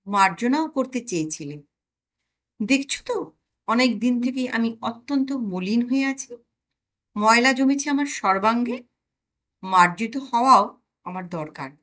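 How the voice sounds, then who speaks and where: A woman's voice: a little high, animated, measured pace, long pauses, a bit bright. A woman voicing a stunted, grimy personified conscience from a comic story, accusing, stubborn and self-pitying. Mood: reproachful.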